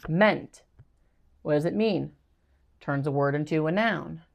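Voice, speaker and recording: A woman's voice: low-pitched, measured pace, creaky, muffled recording. A middle-aged woman, a reading tutor, explaining a teaching routine to parents and teachers and acting out her exchanges with students. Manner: encouraging, acts out student exchanges. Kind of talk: instructional talk to parents and teachers.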